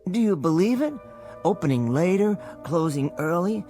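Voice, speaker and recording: Nerdy meek bank teller man, high voice, swoops around a lot, apologetic and rambly, radio play. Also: lively intonation, moderate pace, dark tone, soft background music. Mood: fretful chatty. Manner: fussy, rambling, apologetic, earnest. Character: meek, bookish, absent-minded little male bank teller. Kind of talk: radio drama acting.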